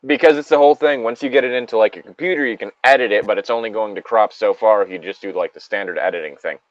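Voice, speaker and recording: A man's voice: on the low side, quick pace, no room sound, dull audio. A man in a casual group chat, talking in a jokey way and swearing freely. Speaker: co-host reading horror stories in a group.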